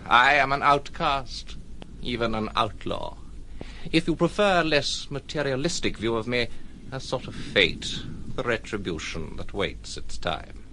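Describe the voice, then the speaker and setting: An actor playing a cold, self-important gentleman outlaw, speaking formally and ominously in a radio drama. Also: mid-range voice, animated, unhurried, background noise, close to an everyday voice. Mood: menacing, haughty.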